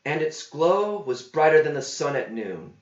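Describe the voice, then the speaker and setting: Cocky young male drifter for a verse storybook, acted by a man, raised pitch, declamatory, roomy sound. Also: very animated, measured pace. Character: cheeky young drifter, smooth-talking and indignant. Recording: roomy, slightly dull home recording.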